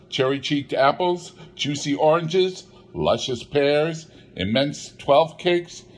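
A man reading a classic story aloud as an audiobook narrator, with expressive, theatrical delivery and deliberate pauses. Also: medium pitch, slightly rough. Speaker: audiobook reader.

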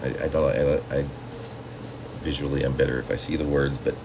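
A man's voice: a bass voice, level tone, a little fast, noisy background. A man in his fifties talking to camera in a conversational, rambling way, like a poet sharing his own work at home. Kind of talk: casual talk and poetry reading to camera. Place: small indoor home room.

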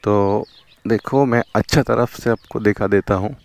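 Brief: young Bengali-accented male motovlogger, lowish voice, chatty, keeps saying "okay" and "look", close dry action-cam sound. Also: a little slow, a little mellow, dry recording. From West Bengal, India. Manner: chatty, repeats "theek hai" and "dekho". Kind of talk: casual vlog narration while riding. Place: outdoors on a riverbank, on a motorbike. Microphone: helmet or chest action camera.

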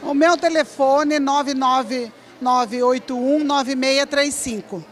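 Direct middle-aged woman, fairly high voice. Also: steady tone, slow pace, some chatter behind. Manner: direct, explanatory. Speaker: association president. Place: indoor event hall. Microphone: handheld interview mic.

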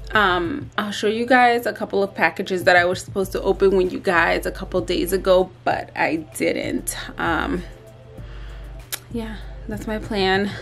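A woman's voice: mid-range voice, fairly bright tone. A woman in her thirties chatting casually and tiredly to camera in a bedroom vlog, with lots of ums and likes. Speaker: vlogger. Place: bedroom at home.

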